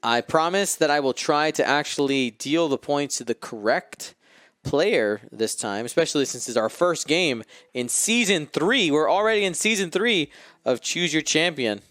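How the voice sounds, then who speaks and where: A man's voice: on the high side, expressive, moderate pace, fairly bright tone, no echo. A man co-hosting a live stream of a tabletop miniatures game, commentating in a chatty way and bantering playfully with viewers. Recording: close dry mic with faint music.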